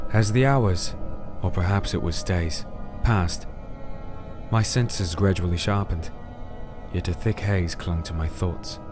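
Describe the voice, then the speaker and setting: Very deep, brooding crusader narrator, played by a man. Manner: solemn, literary, deliberate delivery. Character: wounded, disenchanted crusader narrating his own ordeal, brooding. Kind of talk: scripted first-person dark fantasy audio drama narration.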